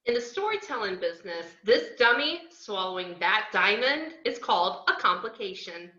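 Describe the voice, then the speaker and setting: Girl doing a brash wry antiheroine, super bright, bouncy pitch, echoey tinny call. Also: very animated, normal speed. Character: brash, wry antiheroine narrating her story. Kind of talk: amateur table read over video call. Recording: roomy, thin phone-like video call.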